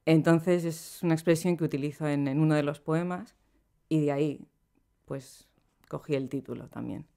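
A middle-aged woman, a poet, talking in a relaxed and reflective way as a podcast guest, laughing easily between thoughts, recorded in a small studio room. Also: low-pitched, moderate pace, creaky. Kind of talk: relaxed conversational interview. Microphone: studio microphone on boom arm.

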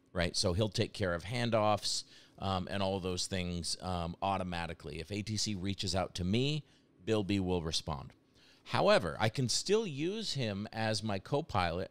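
A man with a low, smooth voice walks through a software demo. He talks casually and explains each step conversationally, as in a screen-share tutorial.

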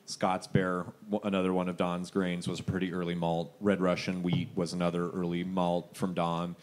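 Deep-voiced rambling man. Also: completely flat delivery, normal speed. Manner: rambling, joking, many fillers and restarts. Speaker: head brewer and co-owner, guest. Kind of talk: casual chat on a stage panel.

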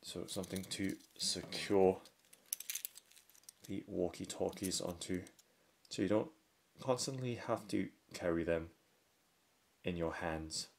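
Deep, gravelly-voiced man narrating an unboxing. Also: takes it slowly, long pauses. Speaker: product reviewer. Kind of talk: unboxing commentary. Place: indoors at a wooden tabletop. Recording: faint handling and crinkling noise. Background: faint clicks and packaging crinkle.

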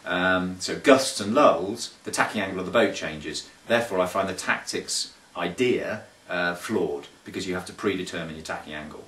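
Man in his thirties, low voice, halting explainer, echoey small room, distant camera mic. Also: a bit bright. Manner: thoughtful, hesitant with frequent fillers and restarts. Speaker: sailing coach. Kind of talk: casual instructional talk to camera. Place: small carpeted room indoors. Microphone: camera mic from a few feet away. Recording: roomy, distant camera audio.

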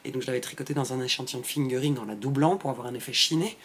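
A woman's voice: extremely low pitch, racing, slight background noise. Chatty woman, rambling home craft vlog. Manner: chatty, rambling, many fillers and restarts. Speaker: knitwear designer and wool dyer. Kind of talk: casual podcast chat. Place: home craft room with shelves.

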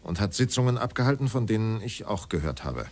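Low-voiced, evasive former official. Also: male voice, sing-song, a little fast. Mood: guarded. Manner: terse, formal, defensive denials. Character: evasive, defensive former Nazi state secretary under questioning. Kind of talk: documentary radio drama dialogue.

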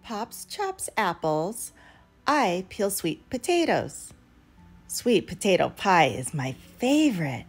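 A woman's voice: mid-range voice, sing-song, takes it slowly, breathy edge. A woman reading a picture book aloud expressively for young children, as a storyteller. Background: background music.

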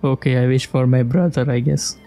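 A man's voice: medium pitch, steady tone, a little fast, mellow. A man giving casual playthrough commentary, musing aloud in short reactive remarks as he plays. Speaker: gamer playing and reacting. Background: video game music.

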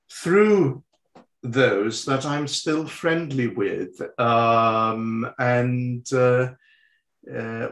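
A man in his sixties, raised with French as his first language, talks slowly in an interview. He pauses often on fillers and restarts his sentences. The room around him gives his voice a noticeable echo. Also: medium pitch. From Sweden. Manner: hesitant, many fillers and restarts. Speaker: guest. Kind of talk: conversational interview answers. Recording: roomy echo.

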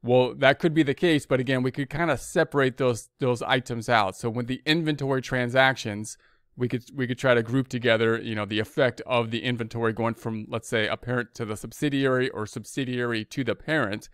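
A man's voice: mid-range voice, fairly even intonation, fairly quick, clear, resonant tone, dry recording, clean studio sound. An American man giving an informal explanatory lecture as an instructor, talking conversationally and often rephrasing himself. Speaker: accounting instructor.

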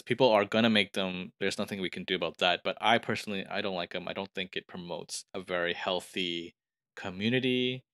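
A man hosts a casual gaming discussion in a fairly low voice. His tone is bright and forward, and the sound is close and dry, like a podcast. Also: dry recording. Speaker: podcast host.

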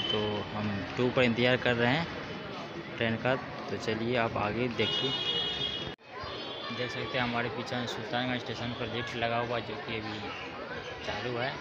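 A man's voice: mid-range voice, muffled recording, very noisy. A man, narrating a casual travel vlog to viewers in an explanatory, repetitive way. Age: 20s. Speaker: travel vlogger. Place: outdoor railway platforms and footbridges. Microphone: phone at arm's length. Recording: loud music behind the voice.

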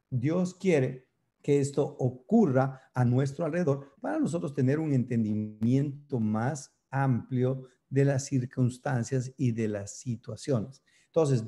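A man's voice: mid-range voice, mellow. A man in his fifties from Ecuador, preaching an earnest sermon to a webcam from an office, gesturing as he speaks. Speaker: pastor. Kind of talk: sermon over video. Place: office indoors. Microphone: webcam close up.

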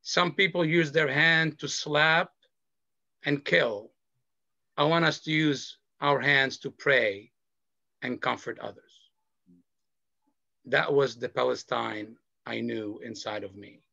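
A middle-aged man from Lebanon, a panelist sharing a personal story in a slow, earnest, measured way over a video call. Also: mid-range voice, vocal fry, bright, forceful tone, dry recording. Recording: dull video call audio.

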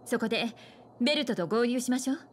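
A woman's voice: fairly high pitch, lively intonation, quick pace, background noise, lightly acted. An actress playing a composed, assured woman who mentors a space crew in a Japanese anime game.

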